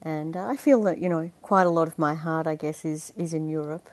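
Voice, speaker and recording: Low, mellow-voiced woman. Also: fairly quick, dry recording. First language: Dutch. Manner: chatty and earnest, laughs easily, many fillers. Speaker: interview guest, performer. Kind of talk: conversational interview.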